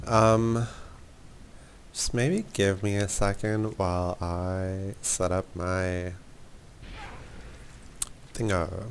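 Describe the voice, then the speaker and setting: A man's voice: sits low, gravelly, slight background noise. A man giving a casual, informal and slightly apologetic walkthrough commentary on a video game. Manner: informal, apologetic, self-deprecating. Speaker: gaming video creator.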